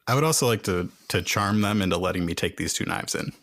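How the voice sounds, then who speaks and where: A man's voice: low-pitched, fast talker, a bit bright. A man playing a tabletop roleplaying game, chatting casually and narrating his character's moves.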